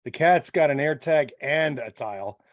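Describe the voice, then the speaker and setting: A man's voice: fairly high pitch, animated, moderate pace, no room sound. A man chatting casually on a group call, informal and blunt, checking that listeners follow. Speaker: club member on a group call. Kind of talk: casual chat.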